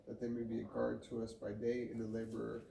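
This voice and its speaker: A man reads scripture aloud in a creaky, low-key voice with little melodic variation. He occasionally trips and repeats a phrase. The room rings around him. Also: completely flat delivery, quick pace, dark tone. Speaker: Bible reader. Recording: roomy, distant mic, faint noise.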